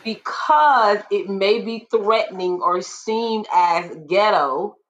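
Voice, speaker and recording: Woman panelist, deep voice, earnest and assertive. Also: slow, a little hiss or hum. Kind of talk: casual discussion.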